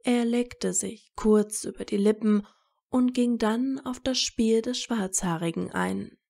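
A woman's voice: a little high, takes it slowly, vocal fry, a little mellow. A woman narrating a scripted story as an audiobook reader.

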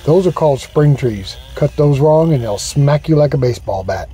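A man calmly explaining how to cut up a fallen tree, recorded outdoors in a forest on a camera mic. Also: fairly high pitch, moderate pace, noisy background. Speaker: woodcutter host. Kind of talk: instructional outdoor narration.

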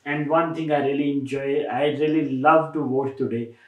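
A man's voice: mid-range voice, steady tone, moderate pace, highs cut off. A young man with a Pakistani accent, hosting a reaction video with eager, repetitive appeals to his viewers. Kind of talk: casual reaction commentary. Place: bedroom indoors. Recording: roomy sound, mic at a distance.

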